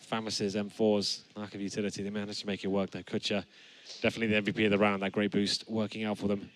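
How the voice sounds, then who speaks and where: A young male caster calls the action in a low, rapid voice, a little raspy as he gets over a flu. He speaks into a headset mic on a studio set and climbs into excited shouts when the plays land. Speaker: esports caster. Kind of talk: play-by-play commentary. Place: studio set with gaming stations.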